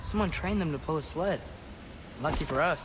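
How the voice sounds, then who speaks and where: A man plays a teenage boy adventurer in a video game. The voice is somewhat high, earnest and full of curiosity, with casual phrasing. Clear ambient noise runs behind it. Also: a little fast, close to an everyday voice. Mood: earnest, curious.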